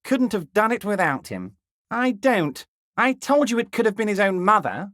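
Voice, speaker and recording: Scheming jovial union boss, video game, ingratiating, lively swooping pitch, dry close recording. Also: male voice, normal speed, no echo. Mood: smug, ingratiating. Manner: smooth and ingratiating, drops low and soft on pet names; blunt and crude at times. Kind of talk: video game character dialogue.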